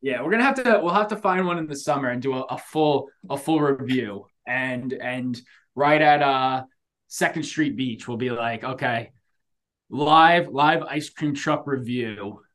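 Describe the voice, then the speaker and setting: A man co-hosting a podcast, chatting casually and telling stories in a slangy, animated way. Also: medium pitch, measured pace. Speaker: podcast co-host.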